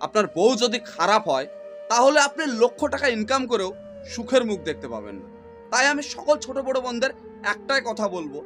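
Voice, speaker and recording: The man who closes a family drama with an earnest lesson for viewers, very high-voiced over faint music. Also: sing-song, brisk, fairly bright tone. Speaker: narrator. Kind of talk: moralizing closing address to viewers.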